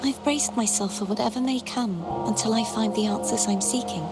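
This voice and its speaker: An actress voices a young maiden of a video game, her voice fairly high over prominent music. She is composed and wistful, and phrases things formally, with the measured air of someone long alone. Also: natural voice. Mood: pensive, composed. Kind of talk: scripted video game dialogue.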